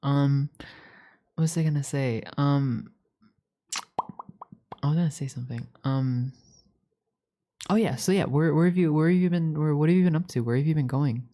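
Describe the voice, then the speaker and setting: A woman's voice: low voice, moderate pace, pauses often, mellow, lightly acted. A woman voicing a playful, needy young catboy roommate in an ASMR roleplay, chatting casually with stammers and repeated words. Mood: curious, chatty.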